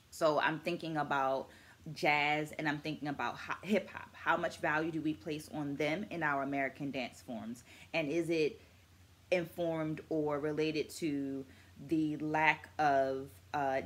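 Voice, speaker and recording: A middle-aged woman speaking deliberately and articulately to viewers as an educator in a reflective book vlog, stressing key words. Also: low-pitched, fairly bright tone. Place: small furnished room indoors.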